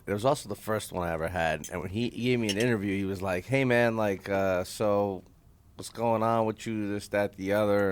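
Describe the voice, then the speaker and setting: A comedian in his thirties, talking candidly in a hotel conference room and telling stories in a wry voice, with plenty of swearing. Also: on the low side. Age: 30s. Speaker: stand-up comedian guest. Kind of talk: casual chat, storytelling.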